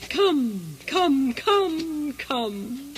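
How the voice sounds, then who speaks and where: A woman's voice: sits high, big pitch swings, drawn-out words, slight background noise, exaggerated voice. A woman playing a mad, sleepwalking noblewoman with a dreamy, theatrical delivery in a radio play. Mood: haunted, trancelike. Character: Lady Macbeth, sleepwalking madwoman, haunted and theatrical. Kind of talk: comic radio drama acting.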